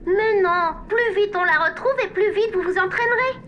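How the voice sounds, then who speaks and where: A woman's voice: extremely high pitch, moderate pace, lo-fi sound, slight background noise. A woman voicing a cheerful, helpful little wooden puppet boy in a children's cartoon, with an upbeat, encouraging manner. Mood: eager, encouraging.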